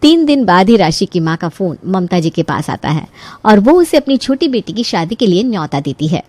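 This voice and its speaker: A woman narrating a scripted animated family story as its storyteller. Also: medium pitch, moderate pace, dry recording.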